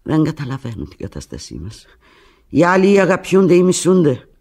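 Radio play lead: heartbroken woman clinging to her lover by phone, husky, intense, fragmented delivery. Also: wildly swooping pitch, heavily acted. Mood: wounded, resigned. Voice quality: low, husky. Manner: intense, fragmented, swinging from hushed to anguished. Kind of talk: radio drama monologue, one side of a phone call.

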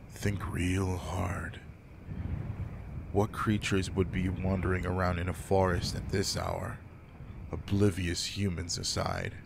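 A gruff alpha werewolf, played by a man, talks low and close in a roleplay audio drama. His voice is gravelly, slow and commanding, with a guarded, caring, teasing side. Faint rain runs behind him. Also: noisy background. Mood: wary, teasing.

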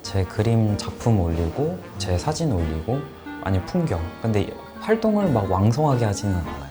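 A man in his late twenties, a guest talking in an unhurried, soft-spoken way. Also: fairly low pitch, big pitch swings, music in the background. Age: 20s.

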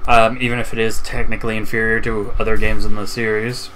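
A man's voice: on the low side, little pitch movement, fast, bright, forceful tone, a little hiss or hum, poor audio. A man chatting informally about video games as a panelist on a group podcast. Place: online group voice chat. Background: music.

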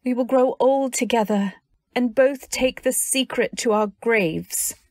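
A woman's voice: on the high side, measured pace, darkish tone, dry recording. A woman playing a fiercely loyal, protective wife in a radio drama, speaking earnestly and with dramatic emphasis. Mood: pleading. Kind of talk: old-time radio drama acting with first-person narration.

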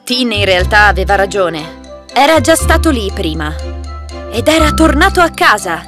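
A woman's voice: medium pitch, sing-song, normal speed. A woman reading a scripted children's fairy tale as its narrator. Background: loud background music.

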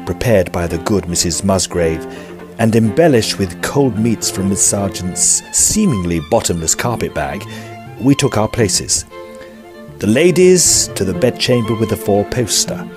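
Low-voiced Edwardian gentleman storyteller, played by an actor. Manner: formal, measured storytelling. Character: gentlemanly Edwardian narrator and ghost-hunting companion. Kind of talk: scripted first-person audio drama narration.

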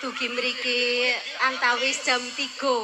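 A woman's voice: on the high side, monotone, slow pace. A middle-aged woman from Pemalang with a half-ngapak Javanese accent, chatting shyly with the stage hosts at a big outdoor religious gathering. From Pemalang, Central Java. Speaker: audience member. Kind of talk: casual stage chat. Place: large outdoor gathering with crowd. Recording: echoing outdoor PA, crowd noise.

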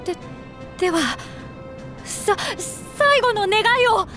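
Very high, timid alien boy. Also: lively intonation, slow, fairly bright tone, faint music behind, exaggerated voice. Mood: startled, timid. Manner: nervous, stammering. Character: timid young alien boy, earnest and polite. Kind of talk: anime video game acting.

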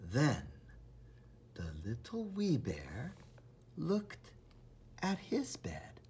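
A man reading a children's storybook aloud in an expressive, dramatic, deliberate way, with a husky, breathy voice. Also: a little high, slight background noise.

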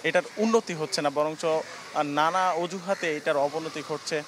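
A man's voice: fairly high pitch, moderate pace, a bit bright, faint music behind. A young man reporting live from an outdoor market into a handheld mic, explaining with frequent hesitations. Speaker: field reporter. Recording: faint outdoor market ambience.

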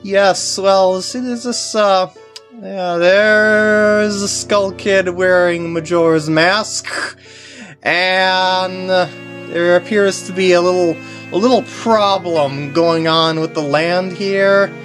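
A young man giving casual, unscripted walkthrough commentary in a halting way with wry asides. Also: high voice, fairly even intonation, fairly bright tone, soft background music.

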